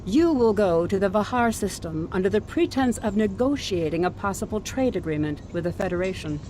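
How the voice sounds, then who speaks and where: An actress playing a composed fleet admiral in a video game, giving formal, measured mission orders. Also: female voice, medium pitch, expressive, dark tone, slight background noise, lightly acted. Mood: composed, official. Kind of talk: scripted game mission briefing.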